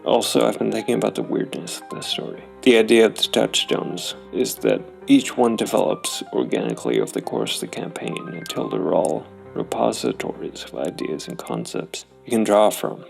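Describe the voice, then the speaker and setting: A man with a low, heavily creaky voice reasons through a role-playing story aloud. His intonation swings widely as he muses, and music plays faintly behind him. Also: animated, normal speed, few pauses. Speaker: solo role-playing game player and host. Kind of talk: musing tabletop game narration.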